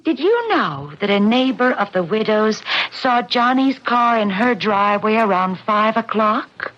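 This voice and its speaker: A woman's voice: a little high, animated, measured pace, a bit bright. An actress playing a determined, defiant young woman questioning insistently in an old-time radio mystery. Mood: probing, defiant. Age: young adult. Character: determined, defiant grown daughter seeking her mother's killer. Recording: muffled old radio drama recording.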